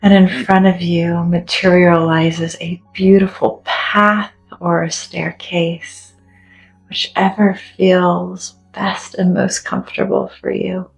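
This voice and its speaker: A woman leading a guided meditation in a gentle, soothing, deliberate way, leaving long pauses between phrases. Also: lowish voice, flat delivery, gravelly, rough recording. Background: soft background music.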